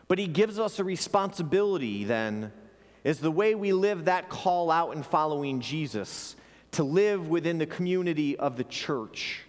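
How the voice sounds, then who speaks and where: A man pastor preaching an earnest sermon to his congregation, sometimes repeating words as he restarts a phrase. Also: on the high side. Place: church sanctuary. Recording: long hall reverb.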